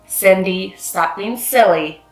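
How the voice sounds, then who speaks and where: A woman's voice: mid-range voice, slow, faint music behind. A woman voicing a stern, exasperated grown-up scolding someone in a storybook. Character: scolding, reasonable-sounding grown-ups confronting Cindy. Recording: roomy, echoing sound.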